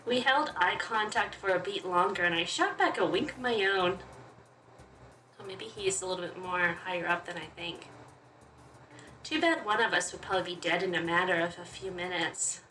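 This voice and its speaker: A woman's voice: fairly low pitch, moderate pace, pushed and bright. A woman hosting a gaming video, reading visual novel narration aloud and adding casual, playful asides. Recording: slightly distant mic, faint noise.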